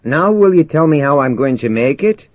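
A man's voice: fairly high pitch, lively intonation, moderate pace, no room sound, highs cut off, pitched above the performer's own voice, lightly acted. A man playing a wary, cheeky young space crewman in an audiobook, skeptical and challenging. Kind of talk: audiobook character dialogue.

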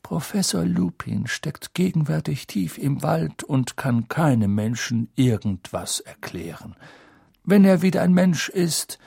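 A man reading an audiobook, voicing an old, wise wizard headmaster who speaks in a measured, grave and sometimes hushed way. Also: vocal fry, husky, no echo, clean studio sound, full character voice. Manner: measured, low, at times hushed.

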